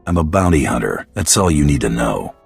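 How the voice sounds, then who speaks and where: A man playing a gruff, menacing bounty hunter in a video game, curt and blunt, with a gravelly, husky voice. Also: extremely low pitch, very animated, a little fast, music in the background, heavily acted. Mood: cold menace. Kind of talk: video game cutscene acting.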